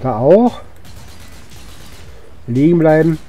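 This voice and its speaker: Guy muttering over a video game, really dull dark voice, kinda weak from a cough, faint music. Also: on the high side, animated, slow pace. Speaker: gaming video host. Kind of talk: casual gameplay commentary.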